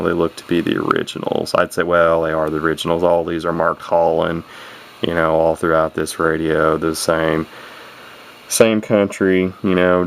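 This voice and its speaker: A very deep, gravelly male voice that hardly rises or falls, talking over a faint hiss. He rambles through his repair in a folksy, halting way, stopping and restarting sentences as he goes. It sounds like a man at his home bench speaking into the camera's mic. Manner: plain-spoken folksy grammar. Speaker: radio hobbyist showing a repair. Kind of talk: casual off-the-cuff repair commentary.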